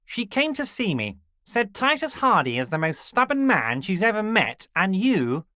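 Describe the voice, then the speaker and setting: A man's voice: high-pitched, very animated, moderate pace, bright, forceful tone, dry recording, full character voice. Sly folksy boss man in a game, lilting all over, patronizing, dead dry sound. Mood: sly, jovial. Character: smooth, scheming, jovial male union boss. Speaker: video game character. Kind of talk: game dialogue voice acting.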